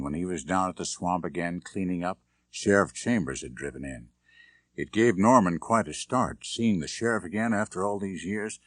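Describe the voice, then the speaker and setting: A man reading a suspense novel as an audiobook narrator, measured and dramatic. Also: deep voice, no echo, studio quality.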